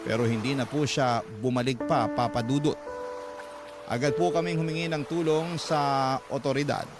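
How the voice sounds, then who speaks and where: A man's voice: medium pitch, steady tone, moderate pace, lightly acted. A man acting a troubled young letter writer with a Filipino accent, narrating a family story earnestly in a radio drama. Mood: somber, earnest. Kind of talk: dramatic first-person scripted narration. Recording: loud music under the voice.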